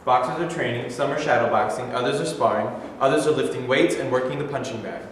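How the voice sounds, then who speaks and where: Brief: young male narrator for stage directions, mid-pitched, heard from far off in an echoey hall. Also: fast. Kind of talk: scripted narration at a staged reading. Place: mid-sized rehearsal studio, far camera. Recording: echoey hall, far from mic, faint noise.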